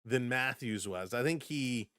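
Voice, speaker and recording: A man doing live play-by-play for a fan stream, switching between brisk calls of the action and chatty replies to his viewers, his voice starting to go hoarse. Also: mid-range voice, pushed and bright, no room sound. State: voice getting hoarse. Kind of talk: live play-by-play and chat reactions.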